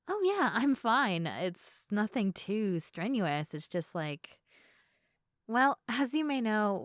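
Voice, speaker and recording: A woman playing a sleepy, affectionate girlfriend in an intimate ASMR roleplay, chatting softly. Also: mid-range voice, no room sound, clean studio sound, natural voice. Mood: chatty, earnest.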